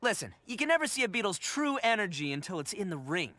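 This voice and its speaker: A man's voice: high voice, sing-song, fast talker, sharp tone, faint music behind, exaggerated voice. An American man voicing a boisterous, cocky young male oni in a video game, with brash, swaggering, slangy delivery. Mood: cocky, eager. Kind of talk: animated video game voice acting.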